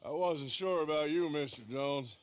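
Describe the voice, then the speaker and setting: An actor playing a resolute Old West lawman with an American accent, speaking in a dry, measured, plain way for a game cutscene. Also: a little high, a little hiss or hum, lightly acted. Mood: sincere, grateful. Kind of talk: video game cutscene acting.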